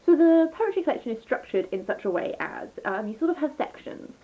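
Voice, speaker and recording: A woman's voice: high voice, big pitch swings, fairly quick, phone-quality sound. A woman chatting casually through a book review as a vlogger, rambling and enthusiastic, often interrupting herself.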